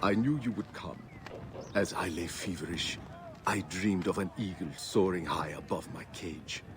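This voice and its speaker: An actor playing a solemn mystic seer of ancient Egypt in a video game, with formal, poetic, portentous delivery. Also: male voice, sits low, moderate pace, close to an everyday voice. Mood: troubled, solemn. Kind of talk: video game cutscene acting. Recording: game music behind the voice.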